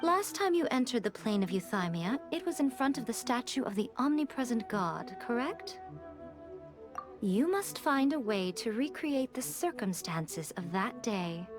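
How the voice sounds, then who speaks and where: A woman voicing a sly, elegant fox shrine priestess in an anime game, speaking in a silky, coy, measured and teasing manner. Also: fairly high pitch, very animated. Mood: businesslike. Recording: music behind the voice.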